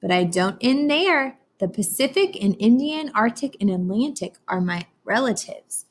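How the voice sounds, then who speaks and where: A woman's voice: fairly high pitch, very animated, moderate pace. A woman with an American accent reading a picture book aloud as a teacher, explaining simply and prompting young listeners with questions.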